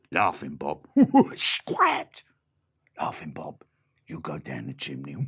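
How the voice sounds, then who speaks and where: A man voicing a sly, bossy bandit leader who talks in a rough, hushed way in a husky storybook voice. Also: a bass voice, voice pushed down, heavily acted. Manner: hushed, rough, says "oi, boys". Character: gravelly cockney bandit boss, bossy and sly.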